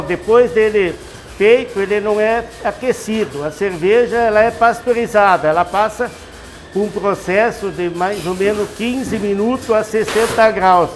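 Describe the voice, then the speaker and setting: A man, a master brewer explaining his craft in an unhurried, folksy, instructive way. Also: high-pitched, long reverb tail, faint music behind. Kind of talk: explanatory interview answers.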